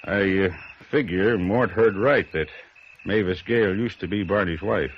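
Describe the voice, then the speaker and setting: Folksy american carny guy, lowish voice, nosy and hesitant, dull old radio with some hiss. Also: a little slow. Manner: hesitant, chatty, colloquial. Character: folksy, curious male pier carnival-booth operator. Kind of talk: 1950s radio drama acting.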